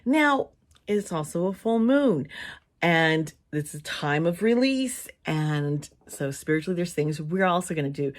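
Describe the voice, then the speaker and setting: A woman with a hoarse, worn voice chatting casually to camera as a channel host, rambling a little and laughing often between thoughts. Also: sits low. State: hoarse, losing her voice. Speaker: tarot reader and channel host.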